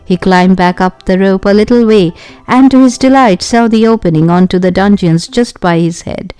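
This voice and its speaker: A woman reading a children's adventure story aloud in an expressive storytelling style. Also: medium pitch, normal speed, clear, resonant tone, faint music behind. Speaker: audiobook narrator.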